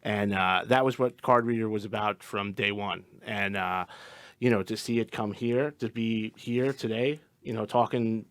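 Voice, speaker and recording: A man's voice: lowish voice, normal speed, dry recording. A man in a band, answering as a guest in an earnest, rambling way with many repeated words. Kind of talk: casual interview answer.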